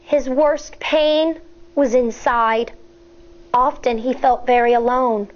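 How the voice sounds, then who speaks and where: A woman teacher reading a picture book aloud to children, expressive and deliberate, stepping aside at times to explain. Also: high-pitched. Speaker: elementary school teacher. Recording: dull, lo-fi sound.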